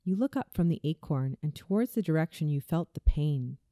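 A woman reading a scripted guided visualization in a soothing, deliberate way, as a meditation narrator. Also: deep voice, lively intonation, dark tone, no echo, clean studio sound. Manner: soothing, slow, deliberate delivery.

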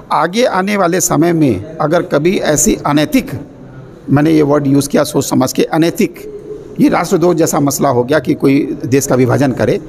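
A middle-aged man, a university official, giving an earnest, measured statement to reporters, pausing often to choose his words. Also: on the high side. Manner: earnest, measured speech with many pauses and fillers. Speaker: university official addressing the press. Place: indoor exhibition corridor with people around. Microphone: cluster of handheld press mics. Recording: crowd chatter behind.

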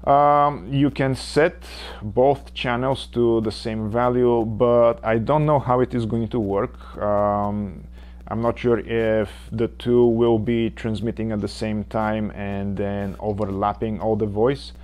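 Bulgarian guy in his 40s explaining mic gear real slow, lots of uhs, in his workshop. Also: fairly low pitch, steady tone, darkish tone. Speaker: woodshop channel host. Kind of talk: unboxing and gear demo. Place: cluttered home workshop.

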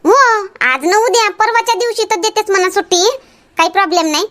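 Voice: very high voice, a little fast, sharp tone. A performer voicing a busy, nagging village housewife and mother in a cartoon comedy, with a rural Vidarbha Marathi accent. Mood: earnest, chatty.

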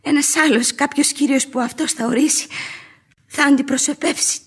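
An actress playing an emotional, romantic young bride-to-be in a theatrical radio play. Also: female voice, sits high, fairly even intonation, natural voice. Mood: earnest, defensive. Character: excitable, romantic bride-to-be, young unmarried lady.

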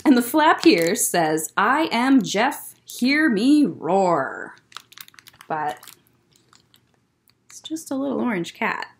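A young woman reads picture books aloud for children. Her voice sits a little high and swings widely in pitch. She is expressive and breaks off for casual asides about the pages. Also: slow pace, pauses often, a little hiss or hum. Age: young adult. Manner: expressive, child-directed storytelling. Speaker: children's librarian, story time host. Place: library room lined with bookshelves, indoors.

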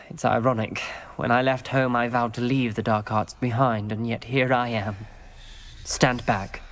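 A man's voice: medium pitch, level tone, unhurried, fairly bright tone, lightly acted. A man playing a troubled, principled teenage schoolboy wizard in a video game, speaking with a British accent in a formal, earnest way. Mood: bitter, fearful. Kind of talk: dramatic video game voice acting.

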